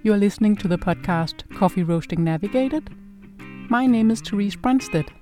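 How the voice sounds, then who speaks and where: A middle-aged woman from Denmark, speaking English with a Danish accent as a non-native speaker, hosting a podcast in a calm, measured, scripted narration. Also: medium pitch, soft background music. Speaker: podcast host.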